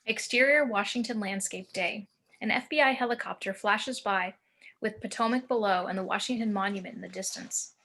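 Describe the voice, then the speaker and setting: A woman narrates a screenplay's action and scene headings. Her voice is very bright and forward, at an average pitch for a woman. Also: normal speed. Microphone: home video call.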